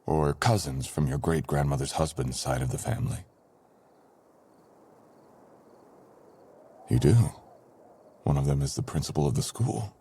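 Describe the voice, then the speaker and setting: A man playing a dangerous, vengeful ancient sorcerer in an audio roleplay, speaking in a husky, breathy voice with dramatic, threatening pauses. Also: extremely low pitch, dark tone, a little hiss or hum. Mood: scheming, vengeful. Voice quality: husky, breathy, often dropping to a whisper. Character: dangerous ancient male sorcerer, menacing, vengeful, freed from long imprisonment. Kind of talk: boyfriend ASMR audio roleplay.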